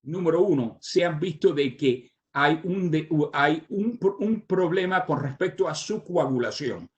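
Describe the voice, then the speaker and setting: An older man with a Cuban Spanish accent carried by English habits delivers a medical lecture in a voice pitched a little high. He stops often on "ah" fillers and restarts his phrases. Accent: Cuban Spanish with American English influence. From Cuba, raised in the United States. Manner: halting, with many "ah" fillers and restarts. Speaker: surgeon giving a conference talk.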